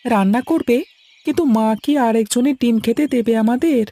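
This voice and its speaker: Woman playing a soft-toned, curious little boy. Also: fairly high pitch, brisk. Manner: childlike, questioning. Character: curious, naive little boy, a younger brother. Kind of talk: acted audio story dialogue.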